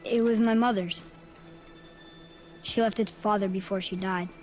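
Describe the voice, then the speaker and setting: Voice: mid-range voice, fairly even intonation, moderate pace, soft background music, natural voice. An actor playing a curious, eager young boy in a video game, talking in an earnest, inquisitive, casual way. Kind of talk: dramatic video game acting.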